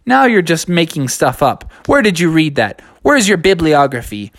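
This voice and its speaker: A man's voice: on the high side, sing-song, normal speed, fairly bright tone, no echo, clean studio sound, pitched above the performer's own voice, full character voice. A man voicing a fussy possum teacher who scolds and doubts a student. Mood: scolding. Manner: scolding, skeptical.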